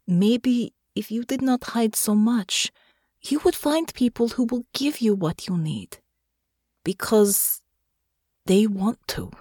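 Audiobook role: gentle young farm woman, voiced by a female narrator, earnest, careful with each word, close dry sound. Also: medium pitch, big pitch swings, husky, no echo, full character voice. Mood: gentle, intimate. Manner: soft, careful, deliberate, formal phrasing. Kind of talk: audiobook character dialogue.

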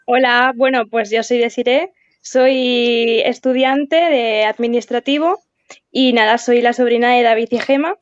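Young Spanish woman, high voice, dull audio. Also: fairly even intonation, unhurried, smooth, no room sound. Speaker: podcast guest. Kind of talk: casual self-introduction.

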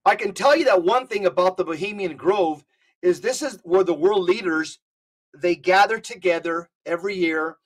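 A man speaking as a podcast guest, emphatic and animated as he tells his stories. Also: sits high, moderate pace, rough recording. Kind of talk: casual conversational podcast.

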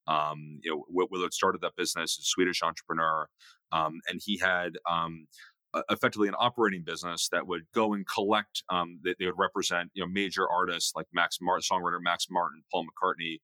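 A man with a very low voice, speaking in a casual interview with many fillers and restarts. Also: flat delivery, a little fast, pushed and bright, dry recording. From New York area. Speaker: guest, music investor.

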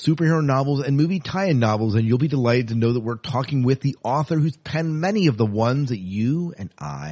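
A man's voice: mid-range voice, a little mellow. A man hosting a podcast in a casual, chatty style. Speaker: podcast host.

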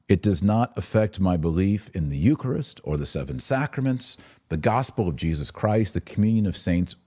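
Very deep, mellow-voiced man. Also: breathy edge. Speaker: podcast host and commentator. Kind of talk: religious commentary, direct address to viewers. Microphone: webcam setup.

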